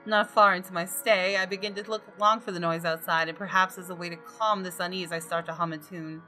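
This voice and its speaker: A woman reads first-person game narration aloud in a crisp, bright tone. Her pitch is slightly low for a woman, and her delivery is deliberate and dramatic. Soft music sits behind the voice. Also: fairly even intonation. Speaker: gaming video host. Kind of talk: reading visual novel narration aloud.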